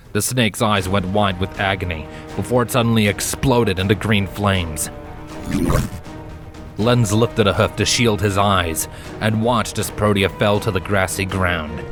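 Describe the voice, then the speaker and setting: Lower-pitched man narrating a fantasy story. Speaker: fan fiction narrator. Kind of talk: dramatic scripted story narration. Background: background music.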